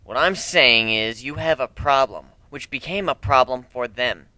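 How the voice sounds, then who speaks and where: Man playing a brash, forceful griffin adventurer. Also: mid-range voice, unhurried, dry recording. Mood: negotiating. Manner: blunt, sweary, sardonic. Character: brash, cocky, sarcastic male griffin adventurer.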